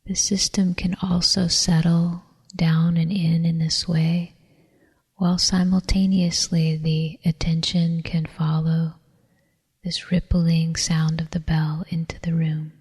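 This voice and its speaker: A woman leading a guided meditation in a soft, whispery, breathy voice, calm and soothing, with long unhurried pauses. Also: sits low. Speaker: meditation teacher. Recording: long reverberant hall echo.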